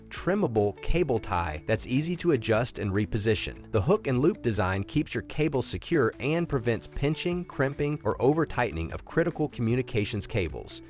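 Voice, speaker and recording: Male instructional narrator over music. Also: mid-range voice. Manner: clear, methodical step-by-step delivery. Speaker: installation video narrator.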